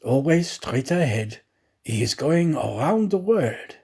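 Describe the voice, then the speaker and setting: A man voicing a talkative, excitable, naive French manservant in a storybook, chatty and emphatic. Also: fairly high pitch, slow, gravelly, husky, exaggerated voice. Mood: chatty, confiding.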